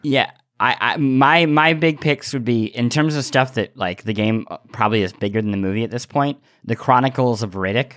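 A man co-hosting a podcast in casual discussion, thinking aloud with animated enthusiasm. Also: medium pitch, normal speed, few pauses. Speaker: podcast co-host.

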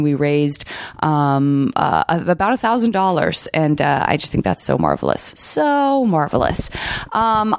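Brief: woman host, deep husky and frayed, chatty with fillers, dry studio sound. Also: darkish tone, no room sound. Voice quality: low husky slightly fried voice. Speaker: podcast host. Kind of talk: casual podcast chat.

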